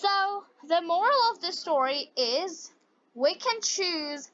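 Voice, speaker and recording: A woman narrates a scripted lesson for language learners, speaking in a deliberate, carefully spaced way on a slightly dull recording. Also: very high-pitched, expressive, a bit bright. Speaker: English teacher and story narrator.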